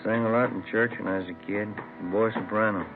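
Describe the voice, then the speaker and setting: An actor playing an easygoing police detective partner in a 1950s radio drama, talking in a casual, plain-spoken way. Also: male voice, on the low side, fairly even intonation, a little fast, lightly acted. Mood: chatty, wry. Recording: old narrow radio recording, music behind.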